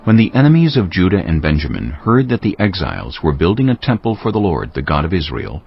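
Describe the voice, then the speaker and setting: A man narrating scripture from a script, as a measured, solemn storyteller in a dramatized production. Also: deep voice, huge pitch swings, gravelly, faint music behind. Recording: slightly dull with faint background noise.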